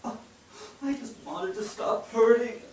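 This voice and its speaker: Man as a desperate, high-pitched husband, very echoey. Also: fairly even intonation, unhurried, noisy background. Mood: anguished. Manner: pleading, anguished. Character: desperate, broken 19th-century husband, a drinker. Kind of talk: staged reading of a period drama. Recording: very echoey theatre, distant microphone.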